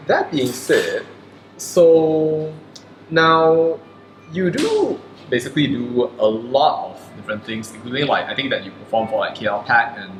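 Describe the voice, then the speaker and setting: A man hosting an interview, asking long, meandering questions packed with "like" and "so then". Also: mid-range voice. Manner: rambling, hedging questions full of "like". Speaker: interview host. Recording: echoey room with clear background noise.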